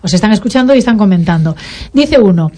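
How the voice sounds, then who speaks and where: A woman hosting a local radio show, lively as she reads out listener messages. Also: mid-range voice, fast talker. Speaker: radio host. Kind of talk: casual radio chat.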